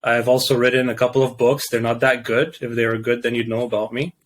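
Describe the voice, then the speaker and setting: Young Canadian-born male guest, halting and thoughtful, plenty of fillers and restarts, mid-pitched voice. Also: flat delivery, a little hiss or hum. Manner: hesitant, many restarts and fillers. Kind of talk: casual interview chat.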